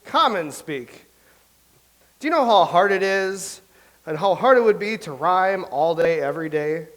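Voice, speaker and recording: A man's voice: high voice, lively intonation, fairly bright tone, low-quality recording. A man playing a rambling, boastful young playwright. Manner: rambling, recites verse slowly. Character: chatty boastful young playwright from old England. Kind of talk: staged play reading.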